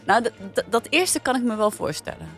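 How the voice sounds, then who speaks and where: A woman's voice: fairly high pitch, sing-song, brisk, soft background music. A woman with a Netherlandic Dutch accent, hosting a podcast in casual conversation with a panel. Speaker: podcast host.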